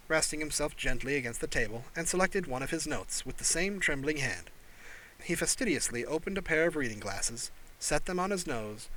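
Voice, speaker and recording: A man's voice: on the high side, bright, forceful tone, slight background noise, low-quality recording. A man reading a science fiction story aloud as a scripted audiobook narration.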